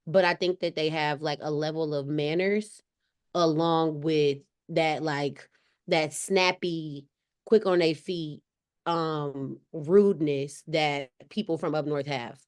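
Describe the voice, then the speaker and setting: Podcast guest, woman, light Southern accent, lower pitch, chatty with restarts. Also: steady tone, takes it slowly, smooth. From Cleveland, Ohio. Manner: chatty, many "like" fillers and restarts. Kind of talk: casual conversation.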